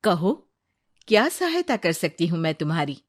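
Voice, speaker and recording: A woman voicing a gracious but firm queen in a story, with a measured, authoritative manner. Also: on the low side, big pitch swings.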